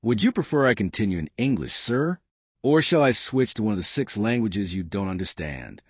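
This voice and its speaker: Guy reading as a quiet young waitress, steady n dignified, dry close sound. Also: on the low side, husky, dry recording. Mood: calm dignified. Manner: measured and steady. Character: soft-spoken young immigrant waitress, calm and dignified.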